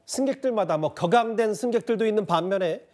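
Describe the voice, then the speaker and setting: A male news anchor with a distinctly high-pitched voice. He leads a studio discussion in a conversational way, asking probing questions and setting up reports. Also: fast talker, darkish tone.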